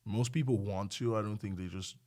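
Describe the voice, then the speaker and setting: Low-voiced man in casual conversation. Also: a little fast, a little mellow. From Nigeria. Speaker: doctor, podcast guest. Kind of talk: casual interview chat.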